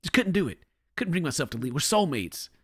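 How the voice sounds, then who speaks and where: A man in his forties giving sarcastic, animated reaction commentary from a home room on a boom microphone. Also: fairly quick, husky. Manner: sarcastic, animated, mocking voices. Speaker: reaction channel host. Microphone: studio mic on boom arm.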